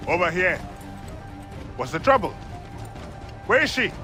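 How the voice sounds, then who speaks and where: Excitable settler, game drama, earnest, emotive, bright edge. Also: male voice, high-pitched, a little slow, soft background music, heavily acted. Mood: anxious. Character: earnest, excitable colonial settler, devoted new father.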